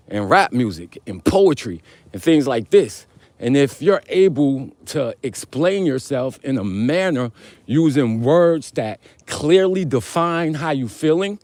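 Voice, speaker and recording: A man with a deep voice hosting a casual, rambling vlog, speaking in slangy, conversational style and addressing listeners as brother and man. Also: a little mellow, no room sound. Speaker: host of a live vlog. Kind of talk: casual rambling talk, answering viewer comments.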